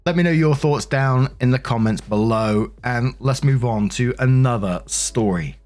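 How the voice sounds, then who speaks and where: A man's voice: medium pitch, normal speed, a little mellow, soft background music. A man hosting a story show, reading posts aloud in a narrating style with casual commentary. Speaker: host narrating online stories.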